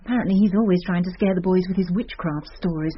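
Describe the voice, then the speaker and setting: A woman's voice: fairly low pitch, quick pace, breathy edge. An actress playing a gossipy, teasing female schoolteacher who confides news in a radio drama. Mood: confiding. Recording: slightly dull radio recording.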